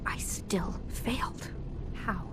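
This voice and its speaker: A woman acting an earnest, socially awkward robot inventor in a video game, halting and defensive. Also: whispered, over music, lightly acted, poor audio. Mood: weary, confessing. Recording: clear background noise and ambience.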